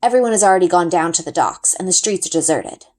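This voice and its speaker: A woman narrating a children's book as an expressive read-aloud. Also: fairly low pitch, fast talker. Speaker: story reader.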